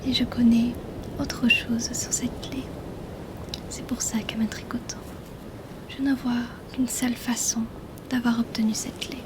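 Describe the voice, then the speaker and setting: Here is a mysterious mermaid healer, acted by a woman, whispering in a fairly high feminine voice. Her intonation stays very level and hushed, intimate and teasingly questioning. She is a shrewd, curious bargainer in an ASMR fantasy roleplay. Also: level tone, a little slow, long pauses, close to an everyday voice. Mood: intrigued, probing.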